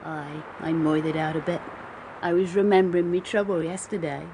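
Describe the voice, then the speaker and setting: A woman's voice: sits low, fairly even intonation, moderate pace, background noise. An actress playing a sullen, put-upon young hired girl in a radio drama, with a rural southern English accent and plaintive dialect speech. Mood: aggrieved. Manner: dialect-heavy, aggrieved, plaintive.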